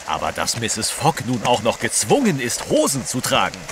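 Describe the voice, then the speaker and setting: An actor playing a stuffy, proper British reporter in an audio drama, speaking in a fussy, pompous way. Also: male voice, medium pitch, huge pitch swings, normal speed. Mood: stuffy, indignant. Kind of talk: German radio play acting. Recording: noisy outdoor rain backdrop.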